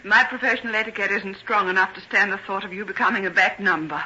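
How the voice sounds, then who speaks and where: An actress playing a devoted, gently scolding young nurse in an old-time radio drama, with earnest, proper diction. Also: female voice, mid-range voice, steady tone, fast talker, husky. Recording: dull, faintly noisy vintage radio recording.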